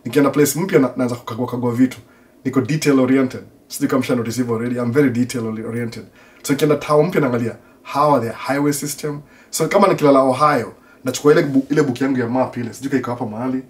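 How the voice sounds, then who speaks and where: Middle aged kenyan guy w slight american twang, swahili speaker, rambly casual podcast chat, bit far from mic, medium pitch. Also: rapid-fire. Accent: Kenyan with an American tinge. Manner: rambling, self-repeating, conversational. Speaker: podcast host answering listener questions.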